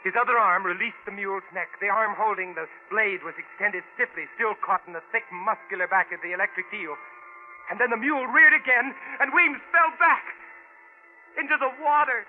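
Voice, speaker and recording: A male actor playing a tense stranded adventurer, telling his jungle ordeal in a dramatic, deliberate old-time radio drama style. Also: high voice, steady tone, natural voice. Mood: breathless dread. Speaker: actor narrating and playing lead. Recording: tinny vintage radio broadcast with music behind.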